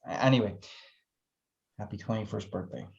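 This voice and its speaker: An actor playing an awkward, sarcastic, lovesick young man in a screenplay table read, with halting, stammering delivery. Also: on the low side, vocal fry, darkish tone, lo-fi sound. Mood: concerned.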